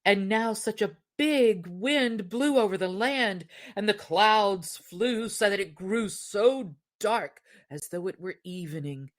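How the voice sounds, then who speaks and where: A woman narrating a fairy tale as an expressive storyteller. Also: mid-range voice, slow, few pauses. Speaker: storyteller, podcast reader.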